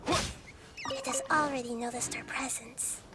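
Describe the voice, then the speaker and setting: A woman playing a small, wise, kindly child goddess in a video game, speaking in a soft, measured way. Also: high voice, sing-song, over loud music, exaggerated voice. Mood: focused. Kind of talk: video game character acting.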